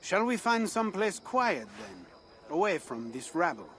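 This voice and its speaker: A man's voice: high-pitched, sing-song, unhurried, a bit bright, a little hiss or hum, full character voice. An actor playing a playful, pleasure-loving Frankish man in a video game, with a teasing, suave and theatrical manner. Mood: flirtatious. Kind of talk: dramatic video game cutscene acting. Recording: music under the voice.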